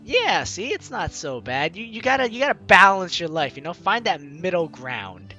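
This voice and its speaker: Casting: male Let's Play host, bright high voice, jokey and theatrical, music faintly underneath. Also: very animated, normal speed, highs cut off. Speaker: gaming video host. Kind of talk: playful Let's Play commentary and dramatic read-aloud.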